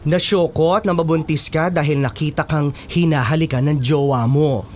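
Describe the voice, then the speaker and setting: A woman's voice: deep voice, fairly even intonation, normal speed, a little mellow, slight background noise, close to an everyday voice. A woman playing a chatty, campy college friend who gossips in theatrical slang in a Filipino radio drama. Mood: gossipy.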